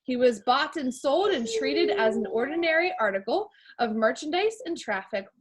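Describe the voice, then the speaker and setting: Higher-voiced American woman teaching young children. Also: expressive, moderate pace, background noise. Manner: addresses listeners as friends, informal, explanatory. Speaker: elementary school teacher reading a picture book to pupils. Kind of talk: read-aloud lesson for young children.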